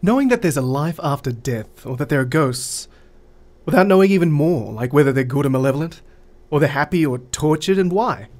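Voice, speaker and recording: A man's voice: fairly high pitch, expressive, a little fast, darkish tone, natural voice. An actor playing a pragmatic male therapist in an audio drama, reflective and matter-of-fact. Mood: reasoning. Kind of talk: conversational audio drama acting.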